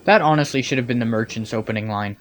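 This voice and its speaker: A man's voice: mid-range voice, quick pace. A man giving nitpicking video game commentary in a sarcastic, incredulous manner.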